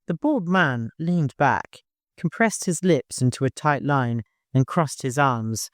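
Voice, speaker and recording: A man's voice: mid-range voice, lively intonation, takes it slowly, no echo, studio quality. A man reading scripted literary narration as an audiobook narrator.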